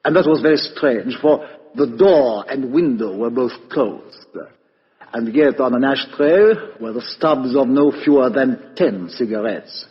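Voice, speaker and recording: An actor playing a shrewd, courteous and methodical foreign detective in a 1950s radio play, speaking deliberately with dramatic rhetorical questions. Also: male voice, medium pitch, lightly acted. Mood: intrigued, methodical. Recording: muffled vintage radio broadcast, hall reverb.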